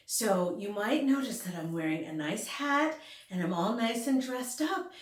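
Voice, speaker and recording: A woman reading a picture book aloud to children, expressively, pausing to speak directly to her young listeners. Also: medium pitch, lively intonation, reverberant. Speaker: children's story reader.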